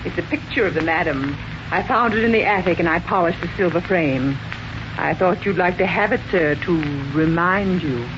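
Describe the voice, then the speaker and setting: A woman acting as a deferential housemaid who insinuates and quietly suspects, in 1940s radio mystery style. Her delivery is pointed beneath the politeness. The aged recording sounds muffled and plainly noisy. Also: lowish voice, moderate pace, natural voice.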